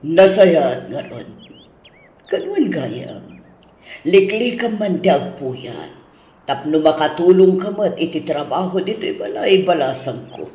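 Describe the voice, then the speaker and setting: A man's voice: fairly high pitch, lively intonation, a little mellow. A man playing a caring but strict older mother-in-law who nags and admonishes in an Ilocano audio drama. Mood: encouraging. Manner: slow, admonishing, drawn-out motherly speech. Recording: roomy, echoing studio sound.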